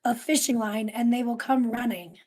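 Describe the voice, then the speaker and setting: A woman with a somewhat high-pitched voice. She speaks in casual, conversational podcast banter and teases in a wry way. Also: moderate pace, darkish tone. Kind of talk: casual chat.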